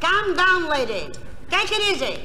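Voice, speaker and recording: A motherly stewardess in a 1960s comedy album sketch, very high in pitch. She scolds and soothes at once, and the old record is echoey. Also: sing-song, unhurried, background noise, exaggerated voice. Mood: bossy, soothing. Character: bossy, motherly Israeli airline stewardess.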